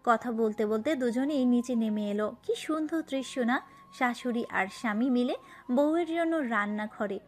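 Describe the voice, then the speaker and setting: A woman's voice: fairly high pitch, moderate pace, soft background music. A woman narrating a romantic story from a script.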